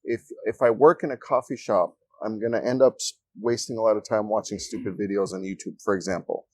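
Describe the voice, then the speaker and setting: A man from Ecuador speaking as a guest in a casual interview in a coworking space, relaxed and joking as he tells stories. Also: on the low side, brisk, dry recording. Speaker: guest, freelancer.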